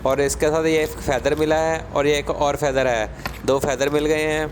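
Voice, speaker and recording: A man with a slightly high voice talks as a tech channel presenter. He explains each item step by step in a casual unboxing style. There is faint outdoor traffic noise behind him. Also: little pitch movement, moderate pace. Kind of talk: casual product unboxing narration.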